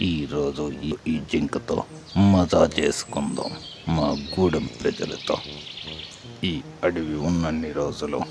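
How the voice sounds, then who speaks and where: A man plays a boastful forest trapper in a puppet show for children. The voice is very low and full of gravel, drawn out and gloating, with menace in it. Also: fairly even intonation, takes it slowly, full character voice.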